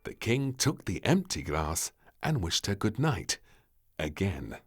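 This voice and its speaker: A man narrating a children's storybook in an expressive, dramatic and deliberate scripted style. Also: sits low, over music.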